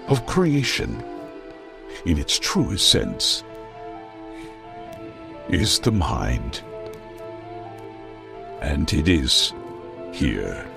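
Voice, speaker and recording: Melodramatic old radio crimefighter, slow grand delivery, pitch swings around, music under him. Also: male voice, vocal fry, exaggerated voice. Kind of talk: 1940s radio serial acting.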